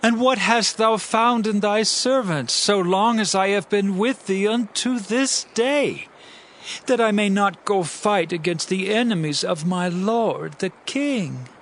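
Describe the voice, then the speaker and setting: A man's voice: high voice, takes it slowly, fairly bright tone, a little hiss or hum, full character voice. A man playing an earnest, pleading young warrior in a dramatized scripture reading, declaiming imploringly.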